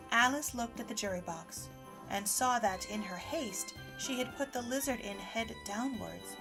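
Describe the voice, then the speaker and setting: A woman's voice: mid-range voice, poor audio. A woman reading scripted storybook narration as the narrator of an audio drama. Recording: music under the voice.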